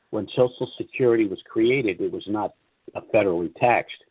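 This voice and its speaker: A man's voice: fairly low pitch, slightly rough, no room sound, lo-fi sound. A man hosting a conference call, lecturing in an opinionated way and posing rhetorical questions. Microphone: phone or call line.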